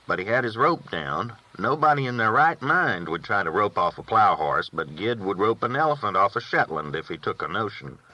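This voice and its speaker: A man's voice: lowish voice, pushed and bright. A man reading a novel aloud as a first-person storyteller, with folksy rural phrasing, on a dull recording. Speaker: audiobook reader.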